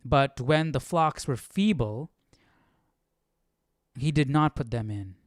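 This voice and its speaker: A man's voice: medium pitch, slow pace, dry recording, clean studio sound. A man hosting a live Bible study with casual, questioning commentary, thinking aloud informally.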